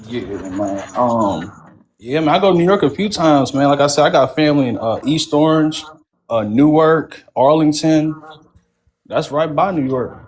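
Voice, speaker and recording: A man's voice: on the high side, moderate pace. An American man from California talking casually and conversationally in street slang, often punctuating his sentences with an address word.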